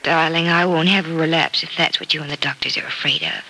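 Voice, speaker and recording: A woman playing an eager, hopeful, devoted young hospital patient in a classic radio soap opera, with wistful, halting delivery. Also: low voice, fairly even intonation, pushed and bright, rough recording. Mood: eager, impatient. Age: young adult. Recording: old radio recording with faint noise.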